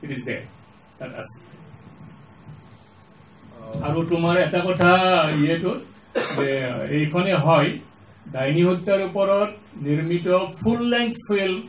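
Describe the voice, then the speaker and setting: A mature man with an Assamese Indian accent answering questions as a panelist in an auditorium, deliberate and halting, repeating points for emphasis. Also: high-pitched. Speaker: panelist, filmmaker. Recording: echoey, distant, faint background noise.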